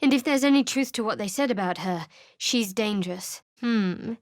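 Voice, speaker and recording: A woman's voice: fairly high pitch, normal speed, dry recording, lightly acted. An actress voicing a guarded, sardonic young woman cleric in a video game, speaking in a dry, cool manner. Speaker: game character. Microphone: studio mic.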